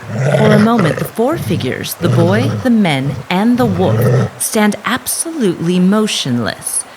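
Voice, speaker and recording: A woman narrating a scripted dramatic story in a measured, suspenseful manner. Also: medium pitch, big pitch swings, a little mellow, slight background noise.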